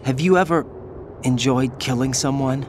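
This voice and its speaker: A man's voice: medium pitch, moderate pace, noisy background, lightly acted. An actor in a video game cutscene playing a troubled young male soldier, intense and questioning. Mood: troubled, brooding.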